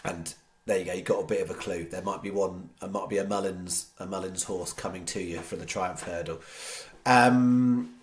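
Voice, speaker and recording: A man with a low voice, congested from a cold, chats casually to camera as a racing tipster. He rambles, circles back and restarts his sentences. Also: steady tone, normal speed. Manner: rambling, many restarts and fillers. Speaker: horse racing tipster host. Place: home studio.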